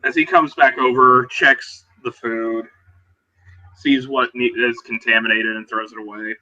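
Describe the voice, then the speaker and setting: A man improvising a tabletop roleplaying scene, switching casually between narration and in-character talk in a halting way. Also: mid-range voice, fairly even intonation, pushed and bright. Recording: dull, muffled online voice-chat audio.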